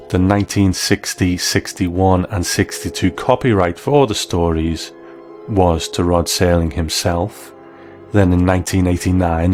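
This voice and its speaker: A man hosting and narrating a documentary in a measured, explanatory way. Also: sits low, a little mellow. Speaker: host.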